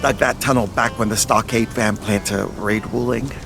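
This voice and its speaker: A man's voice: on the low side, fairly even intonation, moderate pace, creaky. A man playing a flustered, stammering, hapless young male sneak in a video game, with music behind him. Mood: sheepish. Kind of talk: animated video game voice acting. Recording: loud music behind the dialogue.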